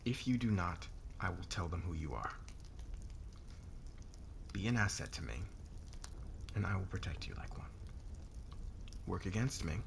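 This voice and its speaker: Guy doing a haughty rich boss for asmr roleplay, very low voice, condescending, faint background noise. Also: vocal fry, a bit bright. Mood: cold threat. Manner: condescending, measured, commanding. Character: domineering, haughty wealthy businessman boss, threatening and smug. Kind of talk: intimate ASMR roleplay acting.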